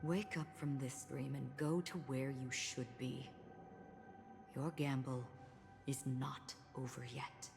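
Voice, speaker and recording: Very low, solemn swordswoman. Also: steady tone, creaky, heavily acted. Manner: deliberate, grave, formal. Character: solemn, enigmatic female swordswoman, stoic and perceptive. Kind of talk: scripted dramatic game dialogue. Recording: long hall reverb under loud music. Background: loud game music.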